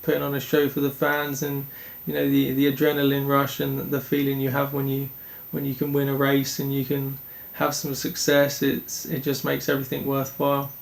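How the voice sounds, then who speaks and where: A young man from Denmark, a speedway rider answering interview questions in an earnest, halting way with many restarts. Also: medium pitch, completely flat delivery, gravelly.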